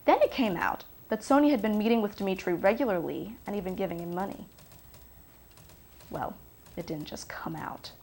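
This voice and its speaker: Actress playing aggrieved ex-girlfriend, creaky voice. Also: medium pitch, a little mellow, lightly acted. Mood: indignant. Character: aggrieved, curious ex-girlfriend giving testimony about her lover. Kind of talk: naturalistic film monologue, testimony-like.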